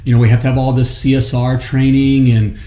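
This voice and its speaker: A man's voice: medium pitch, flat delivery, a little fast, warm tone, roomy sound. A middle-aged man hosting a studio talk show, giving plumbing business advice in a folksy, repetitive way and often checking "okay?". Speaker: host, plumbing business coach. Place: studio set with city backdrop. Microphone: desk microphone.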